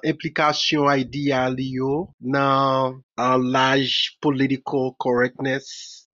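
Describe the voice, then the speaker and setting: A middle-aged man from Haiti with a Haitian accent, a professor answering interview questions in a measured way, often restarting phrases. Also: medium pitch, a bit bright, no room sound. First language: Haitian Creole. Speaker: professor and author. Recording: dull, muffled audio.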